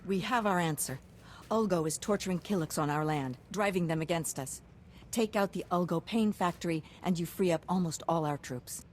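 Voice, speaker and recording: A woman's voice: on the low side, normal speed, a little mellow, a little hiss or hum, close to an everyday voice. A woman playing a pragmatic, hard-nosed military science commander in a video game, clipped and businesslike. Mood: resolute. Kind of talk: video game character acting.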